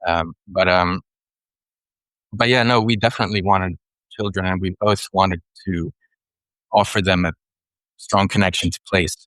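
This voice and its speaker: A middle-aged man from Sweden with a Swedish accent, speaking English as a guest in a thoughtful conversation, pausing often and restarting his phrases. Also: sits low, fairly even intonation, fairly bright tone, no echo, poor audio. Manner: thoughtful, unhurried, with frequent pauses and restarts. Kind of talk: reflective interview conversation.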